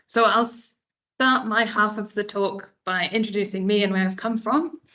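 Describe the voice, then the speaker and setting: Woman giving a measured science talk. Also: mid-range voice, sharp tone. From South Wales. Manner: measured, explanatory, frequent ums. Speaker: genomics specialist presenting a webinar. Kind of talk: explanatory presentation. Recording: dull lo-fi webinar audio.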